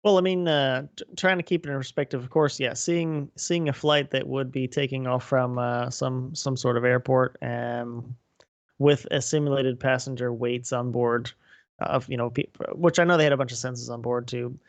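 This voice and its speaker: A man's voice: medium pitch, fairly even intonation, normal speed, no echo. Hesitant man thinking aloud. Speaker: podcast co-host. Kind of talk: casual chat.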